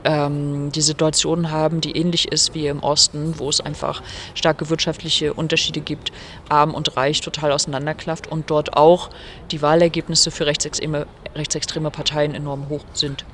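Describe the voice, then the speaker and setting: A woman's voice: sits low, flat delivery, normal speed, slight background noise. A woman from Rostock, an author giving earnest, explanatory answers in an interview, recorded at a venue before her reading. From Germany, Rostock.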